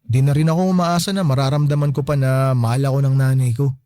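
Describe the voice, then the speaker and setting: Radio drama male actor as resigned young Filipino son, mellow timbre, earnest delivery, close dry recording. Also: mid-range voice, steady tone, fast talker, no echo, lightly acted. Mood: resigned, hurt. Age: young adult. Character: hurt but forgiving young Filipino son and fiancé.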